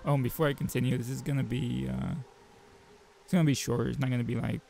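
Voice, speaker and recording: A man with a gravelly voice reading a visual novel aloud as a game player, mixing narration with informal joking asides. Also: mid-range voice, steady tone, fast, dark tone, faint music behind. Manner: informal, joking commentary between read passages.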